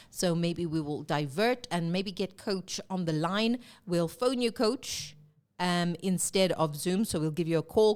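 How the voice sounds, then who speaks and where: Woman sports host, from south africa, lowish voice, stuffy with a cold, casual chatty delivery. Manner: chatty and lively, with fillers and self-corrections. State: congested with a cold. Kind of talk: casual live sports talk.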